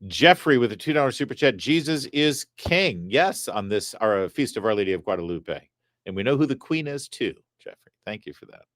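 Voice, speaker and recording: A man's voice: on the low side, no room sound, studio quality. A man hosting a live talk stream, reading viewer messages aloud with chatty, wry asides. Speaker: talk show host reading viewer super chats. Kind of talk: casual live-stream chat. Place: studio set.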